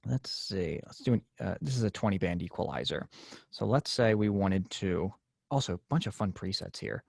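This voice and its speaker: Gravelly-voiced man explaining casually. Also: sits low, animated, normal speed, a little mellow, no echo, studio quality. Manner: casual, filler-heavy explaining. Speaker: class instructor. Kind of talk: software tutorial over a video call. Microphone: video call.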